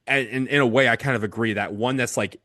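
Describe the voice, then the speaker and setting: A man's voice: medium pitch, steady tone, fast talker, pushed and bright, dry recording, clean studio sound. A man co-hosting a podcast, talking casually about football kits in a hesitant way with many fillers and restarts. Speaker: podcast co-host. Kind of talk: casual chat.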